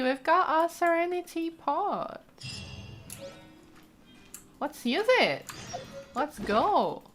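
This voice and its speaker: High-voiced, enthusiastic woman gamer. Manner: cooing, enthusiastic, chatty. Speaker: gaming video host. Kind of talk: casual let's play commentary, reading game text aloud.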